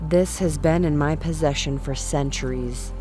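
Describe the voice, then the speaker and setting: Audio drama role, acted by a woman: ageless devoted woman, calm and imploring, lowish voice over music. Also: normal speed, lightly acted. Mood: calm, reverent. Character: calm ancient woman, devoted, pleading to her resurrected king.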